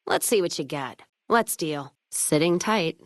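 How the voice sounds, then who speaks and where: A tough, hard-drinking American caravan woman from a frontier video game. Her voice sits low, recorded close and dry. She talks in folksy, sardonic slang and swears freely. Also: lively intonation, unhurried, no echo. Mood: wry, laid-back. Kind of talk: video game character voice lines.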